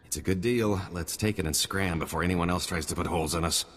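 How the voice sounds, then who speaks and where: A wary mercenary sidekick for a video game. His voice is deep and husky with hall reverb, and he talks bluntly and informally. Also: fast, a bit bright. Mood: wry, persuasive. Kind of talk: video game dialogue acting.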